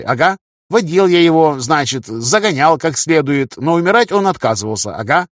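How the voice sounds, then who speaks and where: Man voicing a chatty young guy, higher-pitched, husky-free storytelling. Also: no room sound, studio quality. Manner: colloquial, ends phrases with a verbal tic. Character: chatty young man, boastful fighter recounting battles. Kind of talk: audiobook character dialogue.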